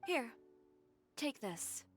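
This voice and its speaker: A woman's voice: fairly high pitch, sing-song, breathy edge, exaggerated voice. Composed, resolute young woman over music. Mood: brisk. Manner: measured, assured, formal. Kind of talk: video game voice acting.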